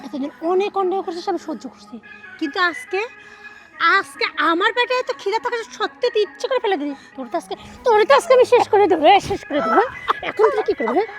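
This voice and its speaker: A spiteful daughter-in-law rattles off harsh, mocking taunts in a very high, rapid voice, as a woman plays her. She badgers without letup, with faint music under her. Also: animated. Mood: threatening. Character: cruel hungry daughter-in-law, scolding and spiteful. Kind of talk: melodramatic domestic drama acting.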